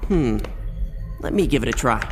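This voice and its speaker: Guy doing a teen hero voice, bouncy pitch, game music playing. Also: moderate pace, lightly acted. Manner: energetic, dry joking. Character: heroic teen boy, quick and determined.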